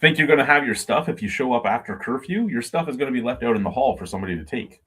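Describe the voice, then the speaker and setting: A man's voice: mid-range voice, lively intonation, rapid-fire, fairly bright tone, slightly rough. A man in his forties chatting casually on a video call, telling long rambling stories and swearing freely. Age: 40s. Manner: rambling anecdotal storytelling with swearing. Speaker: podcast co-host. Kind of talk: casual chat.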